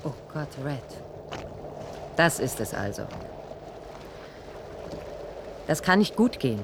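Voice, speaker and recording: An actress playing a weary, shaken researcher in a German radio play, hesitant and earnest, with a breathy, husky voice. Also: female voice, low-pitched, big pitch swings, normal speed, noisy background. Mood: anxious, doubtful. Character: weary, shaken female researcher recounting a disaster.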